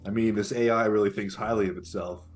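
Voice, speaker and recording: A man chatting casually as a show guest, joking in a deadpan way. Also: on the low side, fast talker, faint music behind.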